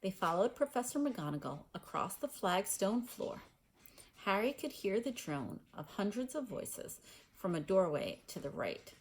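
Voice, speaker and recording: A woman reading a children's novel aloud in an expressive storytelling style. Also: medium pitch, a little slow, a little hiss or hum, low-quality recording. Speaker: storybook reader.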